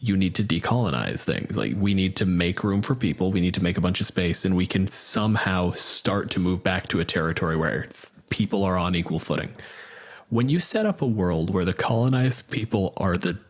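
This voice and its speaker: A man with a lower voice talks bluntly on a podcast, and he sounds exasperated. He swears freely to drive his points home. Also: expressive, a little fast. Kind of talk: casual chat.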